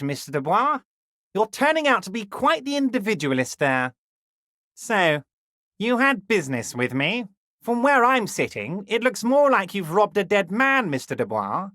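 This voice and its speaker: Scheming, chuckling middle-aged union boss, folksy and condescending, with a lively swooping delivery and bone-dry studio sound. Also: male voice, high-pitched, no room sound, exaggerated voice. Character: smooth, scheming, jovial male union boss. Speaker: video game character. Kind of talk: game dialogue voice acting.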